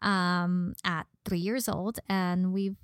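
A woman's voice: fairly low pitch, little pitch movement, takes it slowly, clean tone, no echo, clean studio sound. A young woman from Los Angeles with a slight Valley girl accent, chatting casually as a podcast guest. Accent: slight Valley girl Californian. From Los Angeles, born in Mexico. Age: young adult. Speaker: podcast guest, model and personal trainer. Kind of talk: casual conversational interview.

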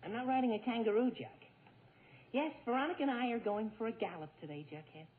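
A man's voice: extremely high pitch, fast. A man playing a lovestruck, easily flustered teenage boy in 1940s radio comedy style, gushing and stammering. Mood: exasperated. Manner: gushing, stammering, exaggerated exclamations. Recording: tinny, noisy 1940s radio broadcast.

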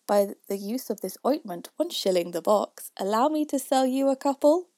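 A woman voicing a grey-haired old father in storybook verse, boastful, blustering and impatient, reciting loudly and emphatically. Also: a little high, animated, normal speed, no echo, studio quality, close to an everyday voice.

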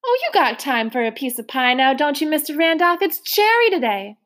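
A woman's voice: high voice, big pitch swings, fairly quick, fairly bright tone, pitched above the performer's own voice. Woman doing a big hearty foster mother.

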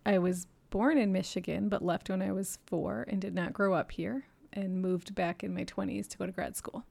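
A woman's voice: mid-range voice, steady tone, moderate pace, gravelly, a little mellow. A woman in her thirties telling her personal story as a podcast guest, earnest and reflective, laughing often between thoughts. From Japan and New York City. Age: 30s.

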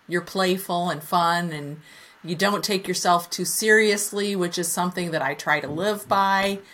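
A woman's voice: on the low side, a little slow, a bit bright. A woman hosting a casual interview over a video call, speaking with enthusiasm and some fillers. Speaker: podcast host.

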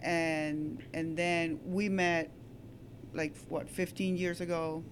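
A woman's voice: deep voice, little pitch movement, slow pace, slight background noise, low-quality recording. A woman from Peru with a Peruvian Spanish accent, chatting casually as a shop co-owner in an interview.

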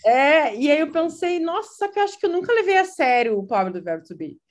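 Hesitant gaúcha woman, slightly high voice. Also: big pitch swings, fairly quick. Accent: Gaúcho Brazilian Portuguese. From Brazil. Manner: hesitant, many fillers and restarts. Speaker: interviewee. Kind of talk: casual conversational account.

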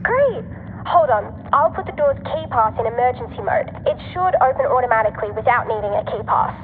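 A woman's voice: fairly high pitch, lively intonation, a little fast, narrow, tinny audio. A woman playing a cheeky, scatterbrained girl tech helper in a sci-fi audio drama, speaking playfully through a radio intercom filter. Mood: helpful, brisk. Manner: playful, flippant. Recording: thin radio line, noisy, long reverb.

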